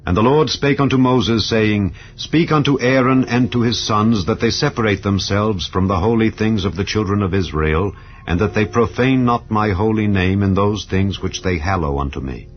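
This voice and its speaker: A man giving a solemn, measured, dramatized scripture reading. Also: lowish voice. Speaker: scripture reader. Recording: lo-fi with soft background music.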